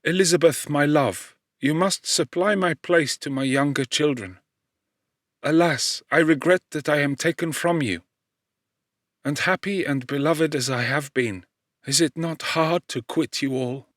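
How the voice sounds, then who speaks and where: A gentle, loving mother on her deathbed, acted by a male audiobook reader. The voice is lifted in pitch, earnest and tender, bidding farewell with noble resignation. The sound is close and dry. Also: a little slow, no room sound. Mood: tender, resigned.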